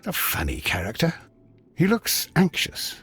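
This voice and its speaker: British detective's sidekick, earnest, husky, lively pitch swings, polite, mildly put-upon, game dialogue. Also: male voice, measured pace, full character voice. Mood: curious, amazed. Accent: British English. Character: loyal, earnest English gentleman, detective's companion. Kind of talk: video game character acting.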